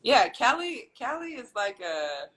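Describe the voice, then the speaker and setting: A woman from California is a guest in a relaxed interview. Her voice is bright, and she speaks thoughtfully. She rambles a little and gathers her thoughts as she goes. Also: on the high side, a little slow. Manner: thoughtful, rambling, many ums and restarts. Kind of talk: casual interview chat.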